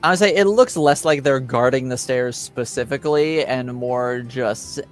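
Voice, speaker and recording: Guy running a tabletop rpg, kinda deep voice, hesitant and hedging, music playing behind him. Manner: halting, hedging, loosely improvised phrasing. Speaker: game master. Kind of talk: improvised tabletop game narration.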